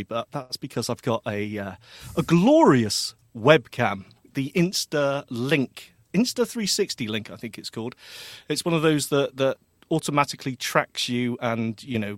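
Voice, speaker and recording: A man's voice: mid-range voice, no room sound. A man co-hosting a tech podcast in casual chat, chatty and laughing often, drifting into tangents. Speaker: podcast co-host.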